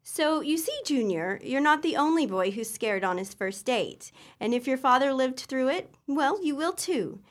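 A woman's voice: high-pitched, animated, normal speed, few pauses, soft background music. A woman playing a patient, reassuring wife and mother in an old-time radio comedy. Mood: soothing.

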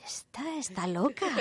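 A woman in her thirties with a Spanish accent from Spain, hosting a radio show in casual, colloquial chat and explaining as she goes. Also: sits high. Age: 30s.

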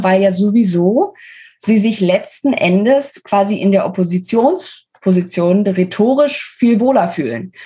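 A woman's voice: a little high, a little mellow, husky. A woman speaking as an interviewed author, explaining things analytically in a conversational expert style. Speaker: interviewed guest, book author.

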